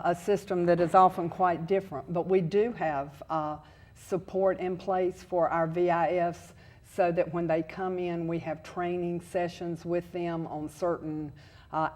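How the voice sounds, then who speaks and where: A woman administrator speaking as a TV interview guest, in a deliberate way with hesitant fillers. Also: fairly low pitch, darkish tone.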